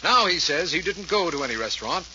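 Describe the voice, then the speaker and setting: An actor playing an earnest, authoritative district attorney, formal and clipped, in 1940s radio drama style. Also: male voice, a little high, big pitch swings, fast, bright, forceful tone, full character voice. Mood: official. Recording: noisy, muffled 1940s radio recording.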